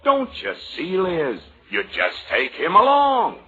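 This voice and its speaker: An actor playing a scheming, wisecracking magazine editor in 1940s radio style, brash, urgent and sardonic. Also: male voice, sits high, wildly swooping pitch, slow pace, over loud music, exaggerated voice. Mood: eager scheming. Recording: muffled old radio recording.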